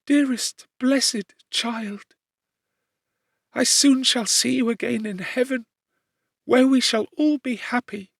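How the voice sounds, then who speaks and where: An anguished young servant woman in a classic audiobook , played by a man. The voice is pitched very high, meek and earnest, pleading through tears. The sound is dry, with no room around it. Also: no echo, exaggerated voice. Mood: mournful, resigned. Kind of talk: dramatic audiobook character reading.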